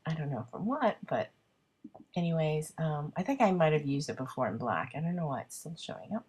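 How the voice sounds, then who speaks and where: Woman, congested and husky, lowish voice, chatty self-deprecating crafter talking through her work. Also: expressive, fairly quick, darkish tone. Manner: chatty, self-deprecating, thinking aloud with many ums. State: congested with a cold. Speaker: card-making crafter. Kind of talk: casual craft video narration.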